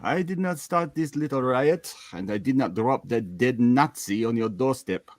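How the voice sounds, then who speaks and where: A haughty French police official acted by a man delivers measured scoldings in an amateur comic reading. The voice is mid-pitched with a lively rise and fall, recorded close and dry. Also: no room sound, lightly acted.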